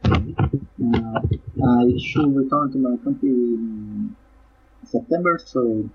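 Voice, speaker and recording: A man's voice: medium pitch, slow, slightly rough. A man from El Salvador whose first language is Spanish, chatting casually with short, easy answers. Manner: relaxed, laughs easily, short answers. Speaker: guest co-host. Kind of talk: casual online chat. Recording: dull distant call audio.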